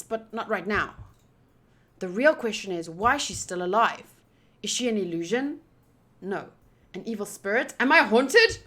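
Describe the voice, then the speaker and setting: A woman streaming a visual novel, reading it aloud deliberately with pauses and chatty asides. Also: medium pitch, fairly bright tone. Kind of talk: playthrough narration with chatty asides.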